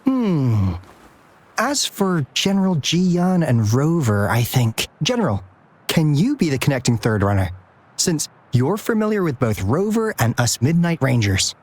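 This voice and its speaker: An actor playing an earnest, hesitant young team leader in a video game, speaking in a measured way. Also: mid-range voice, very animated. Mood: thoughtful.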